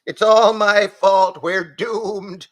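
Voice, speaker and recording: A man's voice: high-pitched, steady tone, extremely slow, a bit bright, voice pushed up, full character voice. A man voicing a fussy, fretful male goat who mutters and apologizes in a prim way.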